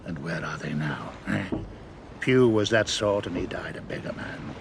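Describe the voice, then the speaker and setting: A menacing pirate ringleader in an audio drama, feigning gentility in old sailor's dialect. The voice is low and full of gravel. Clear background noise runs behind it. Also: male voice, normal speed. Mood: bitter, mocking. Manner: old seafaring dialect, wheedling and threatening by turns. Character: cunning, menacing pirate ringleader, falsely genteel.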